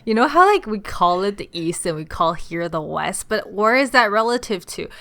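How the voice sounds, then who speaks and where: A young woman co-hosting a casual podcast, playful and jokey, swinging from loud bursts to quiet asides. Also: medium pitch, lively intonation, moderate pace. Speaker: podcast co-host. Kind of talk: casual chat.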